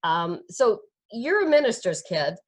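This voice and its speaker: A woman's voice: mid-range voice, sing-song, measured pace. A woman interviewing a guest, thoughtful and hesitant with many fillers. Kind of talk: conversational interview.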